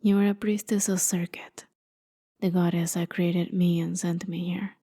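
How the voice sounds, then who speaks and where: A woman playing a haughty, jaded immortal warrior in an ASMR roleplay, softly spoken and often near a whisper, with sardonic, conversational storytelling. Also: lowish voice, little pitch movement, measured pace. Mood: wry, reminiscing. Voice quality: soft, breathy, often dropping to a near whisper. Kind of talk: ASMR roleplay, dramatic monologue.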